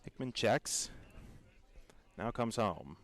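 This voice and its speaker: A man with an American accent calling baseball play-by-play for radio in a measured, descriptive storytelling way. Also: fairly low pitch, animated, a bit bright. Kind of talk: radio sports commentary.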